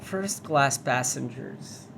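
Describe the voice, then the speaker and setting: A woman's voice: very deep voice, slow pace, gravelly, background noise. Chatty, foul-mouthed woman gamer. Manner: chatty and profane, reads signs aloud. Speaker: VR game reviewer. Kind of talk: casual gameplay commentary.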